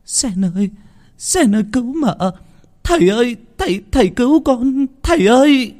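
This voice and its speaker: A man's voice: mid-range voice, moderate pace, mellow, husky, lightly acted. A man voicing a frightened young woman who begs through tears in a told ghost story. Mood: tearful begging. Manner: desperate, tearful begging.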